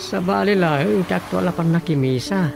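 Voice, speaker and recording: Casting: curious provincial townsman, Ilocano accent, gravelly slightly high voice, radio drama with music underneath. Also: normal speed.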